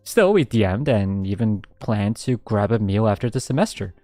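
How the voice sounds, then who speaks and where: A young man streaming a casual radio-style chat, reading out listener submissions, laughing often and reacting in an animated way. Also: normal speed, no echo, faint music behind. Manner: animated, laughs often, jumps high when excited.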